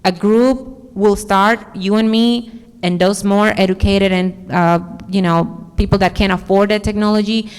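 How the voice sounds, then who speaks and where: A woman's voice: mid-range voice, steady tone, a little slow, clean tone. A woman from the Dominican Republic with a Dominican accent, a conference speaker earnestly answering audience questions and searching for her words. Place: large conference hall. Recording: echoey hall reverb.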